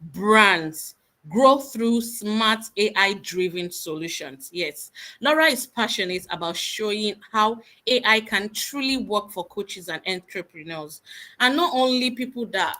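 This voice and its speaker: A woman hosts an online conference from a video call, her voice bright and sharp. She talks emphatically and repeats her points for effect. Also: mid-range voice. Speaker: event host. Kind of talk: conference hosting.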